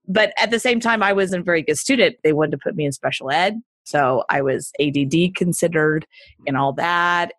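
A woman's voice: deep voice, a bit bright, no room sound. A woman in her forties from Texas with a neutral accent, telling her story in a casual interview and laughing often. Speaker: guest entrepreneur.

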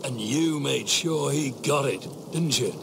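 An actor playing a stern, scornful middle-aged watch officer in a dramatic video game, speaking with deliberate menace and a gravelly voice. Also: male voice, mid-range voice, noisy background. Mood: cold accusation.

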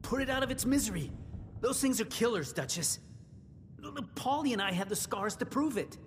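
A man's voice: very high voice, animated, moderate pace. A man playing a blunt, agitated, wary survivor in a video game, arguing in exclamations. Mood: angry. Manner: argumentative, exclamatory. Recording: reverberant room, background noise.

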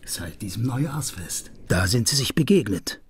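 An actor playing a probing police detective in a crime radio drama, intense, brooding and terse. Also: male voice, medium pitch, lively intonation, moderate pace, natural voice. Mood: explaining, brooding. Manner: terse, interrogating.

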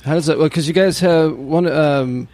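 A man's voice: a little high, normal speed, a little mellow, slight background noise. A man hosting a podcast, talking casually with lots of fillers and friendly questions. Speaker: podcast host. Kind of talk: casual interview and spoken intro.

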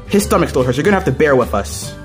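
Chatty cartoon rabbit emcee played by a man, bossy, swinging pitch, faint music underneath. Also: expressive, racing, natural voice. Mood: announcing. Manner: chatty, directing the others. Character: bossy male cartoon rabbit playing master of ceremonies. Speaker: host. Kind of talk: amateur cartoon character skit.

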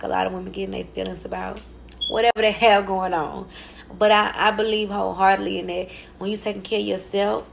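Emphatic woman vlogging, slightly gravelly. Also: mid-range voice, slight background noise. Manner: emphatic, profane, self-assured. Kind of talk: casual selfie vlog talk. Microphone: phone held at arm's length.

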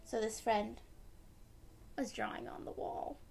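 A young woman reads a picture book to small children at an unhurried pace, with gentle, simple explanations. Her voice sits fairly high and has a creaky edge. She is recorded in a room at home. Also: fairly even intonation, slight background noise. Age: young adult. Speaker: read-aloud storyteller for children.

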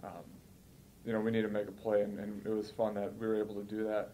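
A man in his early twenties, a college football player giving measured, matter-of-fact answers at a post-game press conference. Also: on the low side, flat delivery, mellow, slight background noise, rough recording. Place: indoor press room, seated at a table.

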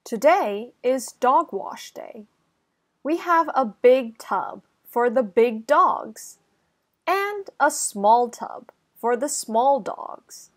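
A young woman reading a children's story aloud, enunciating carefully and deliberately for young listeners. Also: fairly high pitch, animated. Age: young adult. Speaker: children's channel host and story reader. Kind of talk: slow, clear children's read-aloud.

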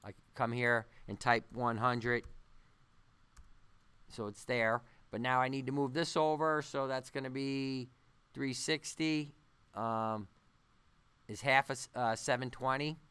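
A man giving an unscripted software tutorial, thinking aloud haltingly as he works through each step. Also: mid-range voice, fairly even intonation, clean tone. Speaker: animation tutorial instructor. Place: voice-over on a screen-recording tutorial.

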